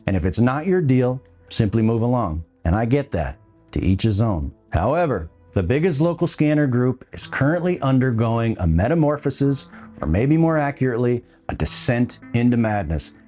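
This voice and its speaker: A man's voice: mid-range voice, very animated, normal speed, dark tone, soft background music. A man hosting a podcast, delivering scripted opinion commentary with a wry, dry humour. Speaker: podcast host.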